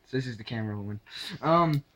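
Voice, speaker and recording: A young man telling a casual anecdote to camera in a halting, sweary way, acting out the dialogue as he goes. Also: medium pitch, lively intonation, a bit bright, dull audio. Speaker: storyteller.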